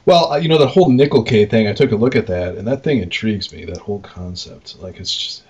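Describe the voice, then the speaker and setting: Low, husky American man on lo-fi audio. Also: fast, darkish tone. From Wisconsin, United States. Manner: informal, mildly profane, rambling. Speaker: podcast co-host and arcade worker. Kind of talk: casual chat.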